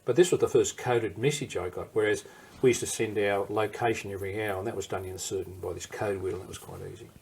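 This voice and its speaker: Gravelly older Australian man reminiscing. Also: lowish voice, fairly even intonation, fast, hardly any pauses, a little mellow, slight background noise. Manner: rambling anecdotal, digresses and restarts. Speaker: war veteran interviewee. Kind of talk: recorded interview storytelling.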